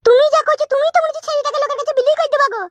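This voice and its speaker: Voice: very high voice, racing, a bit bright, no room sound. A performer voicing a defiant, quarrelsome young wife in a comic cartoon, whiny and argumentative. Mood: defiant, scornful.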